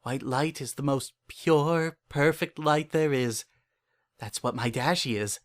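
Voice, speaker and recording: A man's voice: medium pitch, slow pace, natural voice. A man playing a paranoid, obsessive father in a dramatic horror fan fiction reading, with an intense, brooding and repetitive way of talking. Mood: fervent, fragile. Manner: intense, repetitive, brooding, swinging between hushed and frantic. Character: paranoid, obsessive, unhinged father protecting his pony daughter.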